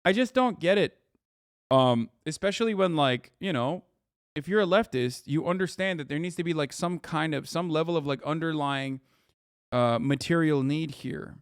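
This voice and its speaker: A man's voice: fairly high pitch, moderate pace, smooth, no room sound, studio quality. Profane, sarcastic man ranting on stream. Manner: profane, sarcastic, heated rants. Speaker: streamer host. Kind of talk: live stream reaction commentary.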